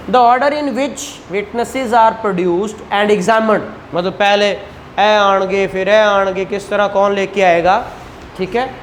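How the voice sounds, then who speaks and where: Classroom law teacher with Pakistani Punjabi accent, informal and explanatory, mid-pitched, recorded with slight room noise. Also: low-quality recording. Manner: conversational, explanatory, repeated check-ins and rhetorical questions. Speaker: law lecturer. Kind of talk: informal classroom lecture with questions to students.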